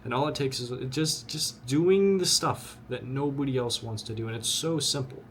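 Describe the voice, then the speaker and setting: A young man of twenty talks to the camera with blunt, earnest conviction, often repeating words as he pushes his point. His voice sits at an ordinary middle pitch. A faint hum of ambience runs behind him. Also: normal speed. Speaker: vlogger. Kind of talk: motivational talk to camera.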